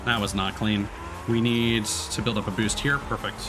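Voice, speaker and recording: Male game streamer, mid-low natural voice, grumbling and cursing through live play commentary, prominent music and engine noise behind. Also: flat delivery, moderate pace. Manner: sweary, grumbling. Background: racing game music and engine sounds.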